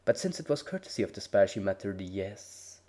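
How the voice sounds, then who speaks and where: Male narrator, lower voice, steady dramatic storytelling. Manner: measured storytelling with voiced dialogue. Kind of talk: dramatic reading of fan fiction.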